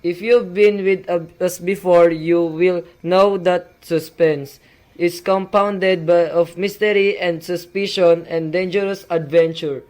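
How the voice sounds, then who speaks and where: A man's voice: high-pitched, fairly even intonation, takes it slowly, darkish tone. A young man playing a mysterious host, reading scripted narration for an amateur suspense radio play. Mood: ominous, formal. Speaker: announcer.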